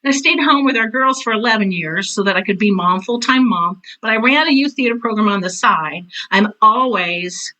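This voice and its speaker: Woman, 60s, podcast guest: bright, forceful voice, mid pitch, chatty and emphatic storyteller. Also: lively intonation, moderate pace, hardly any pauses, poor audio. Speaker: interview guest, retired theater teacher and director. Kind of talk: casual conversational storytelling.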